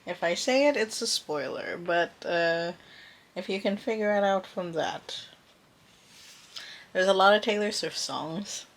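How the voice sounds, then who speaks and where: A woman's voice: medium pitch, a little slow, pauses often. A woman talking informally in a reading vlog, thinking aloud and reacting as she goes. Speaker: book vlogger.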